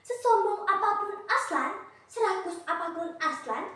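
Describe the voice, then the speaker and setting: A woman's voice: very high-pitched, animated, unhurried, pushed and bright, voice pushed up, full character voice. A young woman voicing a kind, wise animal friend in a children's story, earnest, pleading and emphatic. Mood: earnest scolding. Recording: very echoey room, distant mic.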